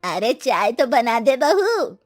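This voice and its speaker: A woman playing a demanding, commanding older mother-in-law in an animated drama. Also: fairly high pitch, slow pace, no echo, clean studio sound, faint music behind, heavily acted. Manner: drawling, commanding.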